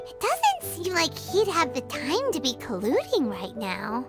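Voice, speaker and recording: An actress voicing a small, excitable, childlike fairy companion in a video game. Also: female voice, sits high, unhurried, loud music behind the voice, full character voice. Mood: doubtful, curious. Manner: talks in third person, childlike exclamations. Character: small excitable floating fairy companion girl, high and squeaky.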